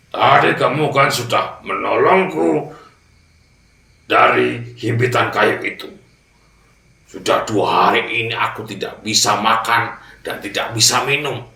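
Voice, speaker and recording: A man voicing a wily crocodile who begs and schemes in a wailing, drawn-out whine for a children's fable. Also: on the low side, gravelly, pushed and bright, full character voice. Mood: sly insisting. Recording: roomy echo, distant mic.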